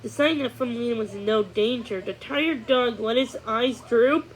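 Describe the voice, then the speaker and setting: A woman's voice: fairly high pitch, normal speed, a little hiss or hum, rough recording. A woman narrating a children's storybook as a read-along storyteller.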